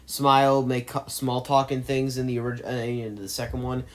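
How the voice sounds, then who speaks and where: A man's voice: mid-range voice, flat delivery, normal speed. A man delivers an opinionated movie commentary in an ordinary mid-range voice. He argues emphatically and rambles, often backing up to restate his points.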